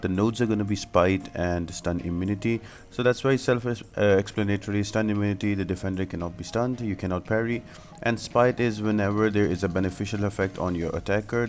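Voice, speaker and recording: A man giving a casual game walkthrough, explaining things directly to viewers as the host of a guide. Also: low-pitched.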